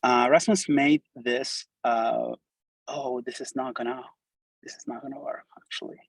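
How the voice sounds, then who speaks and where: A man's voice: medium pitch, steady tone, unhurried, gravelly, breathy edge, dry recording, rough recording. A middle-aged man from Argentina with an Argentine Spanish accent, native Spanish speaker, giving an informal, enthusiastic meetup talk full of fillers and restarts. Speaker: conference speaker. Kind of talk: live meetup talk with demo.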